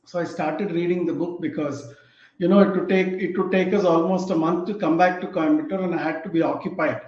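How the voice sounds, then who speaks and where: A man's voice: fairly high pitch, steady tone, a little mellow. Indian man recalling a beloved book. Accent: Indian English. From Tamil Nadu, India. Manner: reflective, unhurried storytelling with fillers. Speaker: host. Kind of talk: casual book talk. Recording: echoey, dull lo-fi room sound.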